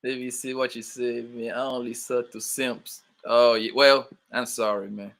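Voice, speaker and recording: A man's voice: medium pitch, little pitch movement, moderate pace, creaky, fairly bright tone. A young man with a New York accent hosting a casual livestream, joking loosely and calling out chat viewers by name. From born in Colombia, raised in Brooklyn, New York. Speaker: livestream host.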